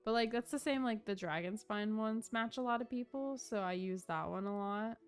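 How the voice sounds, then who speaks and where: A woman's voice: a little high, normal speed, clean tone. A woman game streamer talking casually and informally to her viewers while she plays. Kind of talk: casual livestream chat while gaming. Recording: game music behind the voice. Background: game music.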